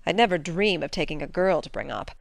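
A woman's voice: fairly low pitch, animated, fast, gravelly, no room sound, studio quality. A woman playing a stern, practical middle-aged farm woman in a dramatic audiobook, talking in a plain, folksy, matter-of-fact way. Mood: firm. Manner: plain-spoken, matter-of-fact, folksy old-fashioned phrasing.

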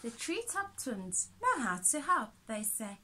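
A woman with a high voice reads a picture book to young children. She takes it slowly and expressively, like a teacher, adding small comments as she goes. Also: very animated, noisy background. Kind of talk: picture book read-aloud with asides. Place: small indoor room with soft toys.

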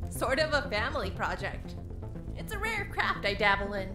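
A confident young woman pilot, played by an actress in a fantasy audio drama. Her voice is on the high side, proud and brisk, with music clearly playing behind it. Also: very animated. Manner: proud, breezy, self-assured.

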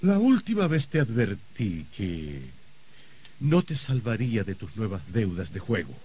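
Anxious, stern older uncle, swooping intonation. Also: male voice, on the low side, sing-song, unhurried, dark tone, a little hiss or hum. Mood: stern refusal. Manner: hesitant, muttering, dramatic. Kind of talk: radio drama acting.

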